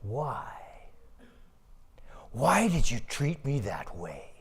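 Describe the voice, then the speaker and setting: A man voicing a gentle, loyal male ox who speaks softly and quietly, pleading with his master. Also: a little high, very animated, takes it slowly, vocal fry, husky, exaggerated voice. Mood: sorrowful.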